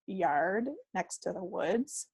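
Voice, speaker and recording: A woman's voice: on the low side, lively intonation, slow pace, a little mellow, breathy edge, no echo. A woman co-hosting a podcast in casual chat, talking in a rambling, jokey way. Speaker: podcast co-host.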